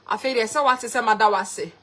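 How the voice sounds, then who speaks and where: A woman's voice: fairly high pitch, fast talker, fairly bright tone. A young woman from Ghana with a Ghanaian accent, hosting a live cooking stream and greeting viewers by name with repeated thanks. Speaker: cooking livestreamer.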